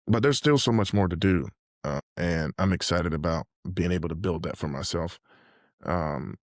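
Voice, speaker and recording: Deep-voiced young man, reflective and earnest. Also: normal speed, no echo, clean studio sound. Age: late 20s. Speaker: podcast guest, mentor. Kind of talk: reflective conversational interview.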